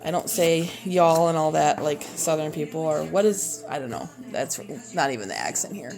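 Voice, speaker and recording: A woman in her thirties with a Minnesota accent, chatting casually and a bit haltingly while she chops vegetables in her home kitchen, recorded on a propped-up phone. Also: low voice, lively intonation, vocal fry, music in the background. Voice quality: rambling, hesitant with many fillers. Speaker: homeschooling mom and cooking vlogger. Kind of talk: casual cooking video. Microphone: phone propped up on the counter.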